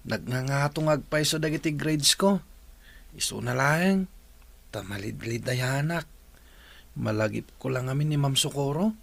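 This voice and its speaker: A man's voice: mid-range voice, vocal fry, fairly bright tone. A man acting in a radio drama as a diligent student who speaks earnestly.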